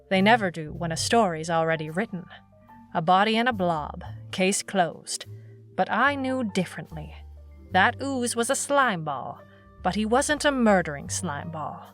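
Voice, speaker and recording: A woman playing a wry, dogged private eye in a noir audio drama, speaking in clipped, deadpan phrases. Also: medium pitch, measured pace, soft background music. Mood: brooding.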